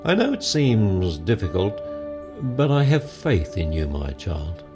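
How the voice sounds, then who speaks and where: A very old, weary grandfather and president, acted by a man in an audio drama. He speaks slowly and solemnly with a widely moving pitch, pleading gently. Music plays underneath. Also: big pitch swings.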